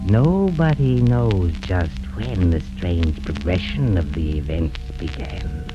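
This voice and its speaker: Sinister, swooping horror storyteller, old radio. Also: male voice, sits low, expressive, lo-fi sound, loud music behind the voice. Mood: hushed, ominous. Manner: theatrical, drawn-out dramatic delivery with macabre relish. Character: gleefully sinister cackling horror storyteller, relishing the macabre. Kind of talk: 1930s radio horror narration. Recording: old band-limited 1930s radio recording.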